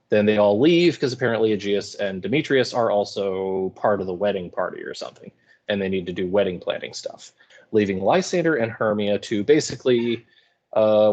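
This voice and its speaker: Low-voiced man giving wry lecture commentary. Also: expressive, moderate pace, darkish tone, highs cut off. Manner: informal, wry colloquial paraphrasing. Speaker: high school English teacher.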